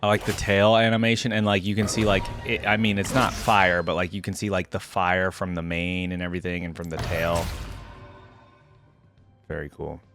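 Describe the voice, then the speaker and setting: A man with a fairly low voice hosts a casual reaction video. He thinks aloud informally and exclaims often, while music plays clearly behind him. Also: level tone, normal speed. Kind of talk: casual live reaction commentary. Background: game music and sound effects.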